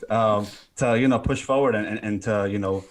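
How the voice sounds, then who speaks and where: A man's voice: lowish voice, normal speed, a little hiss or hum. A man with a British accent, a filmmaker co-hosting a podcast, speaking earnestly and casually with fillers. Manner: joking, then earnest and quieter. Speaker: filmmaker co-host.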